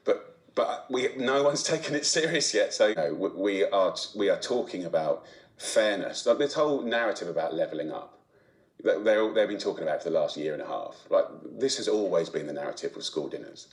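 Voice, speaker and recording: A man talking informally as a commentator, halting and repeating words as he goes. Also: deep voice, animated. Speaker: speaker on food poverty. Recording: roomy, echoing sound.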